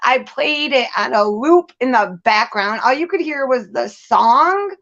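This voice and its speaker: A woman's voice: high-pitched, lively intonation, unhurried, fairly bright tone, highs cut off. A woman venting candidly and casually as host, blunt and profane, leaning hard on repeated phrases for emphasis. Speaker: host of a live commentary show.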